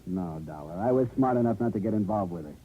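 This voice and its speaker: A man's voice: on the low side, lively intonation, fast talker, natural voice. An actor playing a testy, defensive small-town man in the Western US, brusque and colloquial, in a 1950s radio drama. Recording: tinny, noisy old radio recording.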